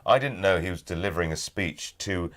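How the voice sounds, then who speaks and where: A British man talking as an interview guest, with measured, thoughtful and emphatic phrasing. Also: deep voice, fairly bright tone. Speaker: guest, actor and audiobook narrator. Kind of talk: casual interview chat.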